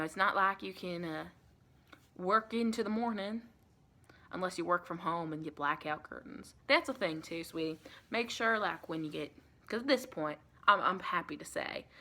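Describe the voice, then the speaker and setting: An American woman playing a sweet, reassuring vampire in a chatty, rambling ASMR roleplay, with a creaky voice. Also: on the low side, normal speed, bright, forceful tone, exaggerated voice. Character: sweet, reassuring female vampire welcoming a new recruit.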